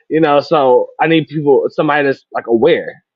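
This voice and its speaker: Man, mid pitch, dull lo-fi sound, dry, reflective casual panel talk. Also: normal speed, no room sound. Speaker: panelist on a live talk show. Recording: dull lo-fi connection.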